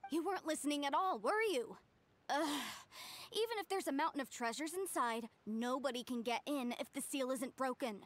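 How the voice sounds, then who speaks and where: An actress playing an earnest young researcher of ancient ruins in a video game, explaining things. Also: female voice, high-pitched, animated, moderate pace. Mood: exasperated. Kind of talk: English video game voice acting.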